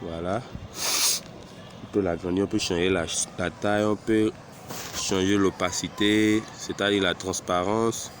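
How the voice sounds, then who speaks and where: A man with a cold walking through a software tutorial step by step, hesitant and unhurried as he clicks through each setting. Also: deep voice, steady tone, a little hiss or hum. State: has a cold. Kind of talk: screen-recorded software tutorial.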